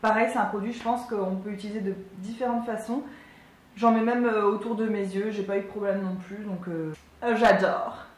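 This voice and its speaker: A woman's voice: medium pitch, fairly even intonation, normal speed, reverberant. A woman in her twenties chatting casually and enthusiastically as a beauty vlogger, with lots of fillers. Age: 20s. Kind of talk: casual product review.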